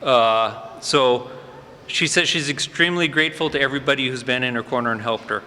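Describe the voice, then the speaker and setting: A man's voice: medium pitch, brisk, bright, forceful tone. A man introducing graduates at a fire department ceremony, giving off-the-cuff remarks with frequent fillers. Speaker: fire department EMS supervisor presenting cadets. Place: large indoor hall at a ceremony. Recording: echoey hall with faint ambient noise.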